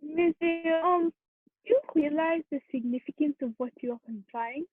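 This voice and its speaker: A woman's voice: high-pitched, moderate pace, no echo, narrow, tinny audio, natural voice. Woman playing a stern police prefect, phone-thin sound. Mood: grave. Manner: formal, authoritative, questioning. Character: formal, stern male French prefect of police presiding at trial. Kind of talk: amateur radio play acting.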